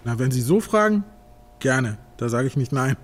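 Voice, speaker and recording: A man's voice: medium pitch, sing-song, normal speed, very noisy, natural voice. A man acting in a radio drama as a chatty, folksy hospital patient who muses in short colloquial sentences. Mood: surprised, amiable.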